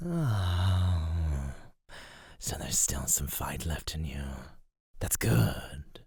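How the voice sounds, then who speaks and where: A man playing an ancient underground monster in an ASMR roleplay, speaking in a measured, menacing, teasing way with formal wording. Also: low-pitched, mellow, breathy edge, no room sound, clean studio sound, full character voice. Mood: curious, predatory. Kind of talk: ASMR roleplay, slow intimate scripted acting.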